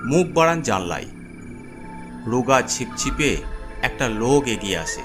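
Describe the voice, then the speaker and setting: A male storyteller delivers dramatic, scripted horror narration. His voice sits at a middle pitch. Music plays clearly underneath. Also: very animated, measured pace.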